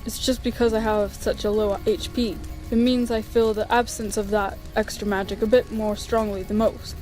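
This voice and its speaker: Woman as easygoing male skeleton big brother, cartoon dub, tired, casual, soft-pedalling, music underneath. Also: medium pitch, level tone, normal speed, natural voice. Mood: reassuring, easygoing. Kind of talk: animated comic dub acting. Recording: music behind the voice.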